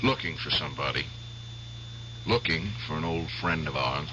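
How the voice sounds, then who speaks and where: A bitter, menacing veteran. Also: male voice, rumbling low, moderate pace, noisy background. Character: obsessed veteran hunting an old comrade. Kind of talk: 1970s radio drama acting. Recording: dull vintage radio broadcast.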